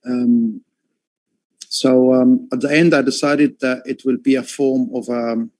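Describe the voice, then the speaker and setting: A man from Egypt, an artist, talking about his work on a livestream call, often pausing with fillers and restarting his sentences. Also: mid-range voice, steady tone, a little mellow, no echo. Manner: hesitant, many fillers and restarts. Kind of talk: livestream talk. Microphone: headphones on a call.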